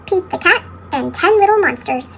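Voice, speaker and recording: A woman's voice: animated, normal speed, vocal fry, fairly bright tone, slight background noise. A woman reading children's picture books aloud expressively, her voice sped up with a chipmunk effect. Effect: sped-up chipmunk pitch effect. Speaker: storybook reader.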